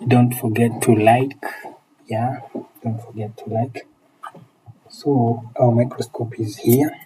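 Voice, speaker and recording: A man's voice: mid-range voice, steady tone, slow pace, dark tone, slight background noise, low-quality recording. A man with a Kenyan accent chatting casually on a livestream as he teaches repair and replies to viewers, often repeating his phrases. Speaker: phone repair technician and live streamer.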